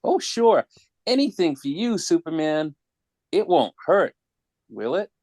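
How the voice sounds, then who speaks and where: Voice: medium pitch, big pitch swings, measured pace, a little mellow, no echo, studio quality. An adult performer playing a cheeky, slangy young boy in a dramatic comic-book table read. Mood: eager, awed. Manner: slangy, wide-eyed, childlike. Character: cheeky, excitable young boy, hypnotized into robbery.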